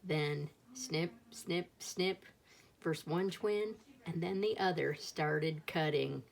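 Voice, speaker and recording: A woman's voice: low voice, takes it slowly, vocal fry, slight background noise. A woman reading a children's picture book aloud as a storyteller, expressively acting out the dialogue.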